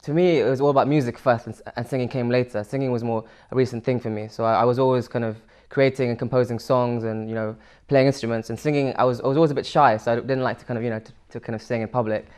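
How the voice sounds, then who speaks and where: A man's voice: medium pitch, steady tone, fast talker, hardly any pauses, darkish tone, clear, resonant tone. A young man from Sri Lanka, answering interview questions casually with many fillers and restarts. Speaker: musician guest.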